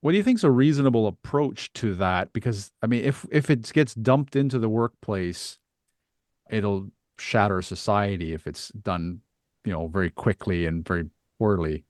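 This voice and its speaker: Male podcast host, low-pitched, dry close sound, conversational and discursive as he works through questions. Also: expressive, moderate pace, a little mellow, no echo. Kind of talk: conversational interview.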